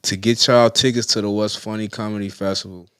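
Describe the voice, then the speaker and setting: A young man hosting a live-stream talk show, teasing his co-hosts in loud, slangy banter and hyping tickets. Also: on the low side, flat delivery, brisk, clear, resonant tone, dry recording, clean studio sound. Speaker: show host. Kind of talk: casual live-stream chat.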